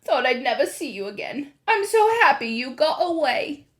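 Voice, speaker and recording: A woman voicing a calm, loving elderly grandmother who speaks steadily and warmly. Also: high voice, moderate pace, voice pushed up. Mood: earnest. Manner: cool, steady, affectionate.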